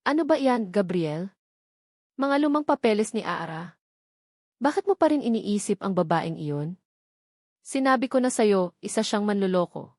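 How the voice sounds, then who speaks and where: A woman's voice: medium pitch, expressive, normal speed, pauses often, a little mellow, no room sound, clean studio sound, lightly acted. Haughty older matriarch read by a woman narrator. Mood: dismissive. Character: haughty, scornful wealthy matriarch, older mother.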